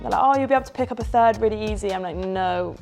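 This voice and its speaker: A young woman talks in an upbeat, chatty way, her voice often dropping into a gravelly fry. Light music plays behind her in the salon. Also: mid-range voice, racing, darkish tone. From London. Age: 20s. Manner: upbeat, chatty, laughs easily. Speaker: guest athlete. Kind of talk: casual chat. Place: hair salon.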